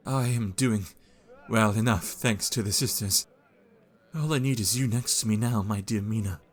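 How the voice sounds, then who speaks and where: An actor playing a weary, shaken young Victorian man in an audio drama, who speaks in a halting, frail way. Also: lowish voice, close to an everyday voice.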